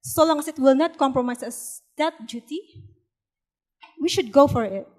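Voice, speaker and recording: A woman's voice: sits high, normal speed, darkish tone. A woman from the Philippines, a business PhD student on a panel, answering earnestly with a few fillers.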